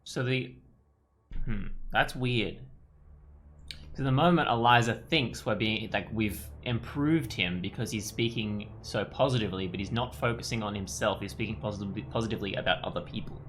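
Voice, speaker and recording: A man's voice: on the low side, normal speed, fairly bright tone, a little hiss or hum. A man giving casual gameplay commentary, musing and thinking aloud in loose phrases. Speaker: let's play streamer. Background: music.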